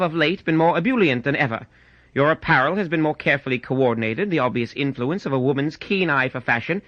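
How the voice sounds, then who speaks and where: A man voicing a brisk, self-assured Victorian gentleman detective with a pompous, precise manner, in a radio-style story. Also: on the high side, no room sound, muffled recording. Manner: pompous, precise, rapid deductions. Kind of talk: audiobook radio-style pastiche.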